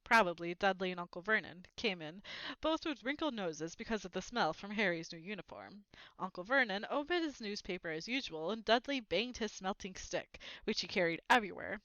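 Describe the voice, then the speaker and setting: A woman's voice: mid-range voice, expressive, brisk, fairly bright tone, no room sound, highs cut off. A woman reading a storybook aloud as narrator, expressively.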